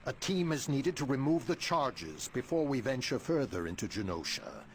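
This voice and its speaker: A commanding older mutant leader in a video game, played by a man. His mid-pitched voice moves widely and theatrically, formal, stern and imperious, over faint noise. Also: sing-song, close to an everyday voice. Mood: grave. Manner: formal, measured authority.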